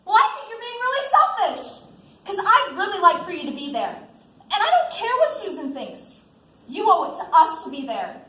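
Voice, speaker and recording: A woman's voice: very high-pitched, very animated, moderate pace, full character voice. Young woman as insistent teen girl, echoey stage. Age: young adult. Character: outspoken, caring teenage girl, insistent friend. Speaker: student actor in a one-act school play. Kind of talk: amateur stage acting. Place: indoor stage, recorded from the audience. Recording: roomy echoing stage recording, loud noise.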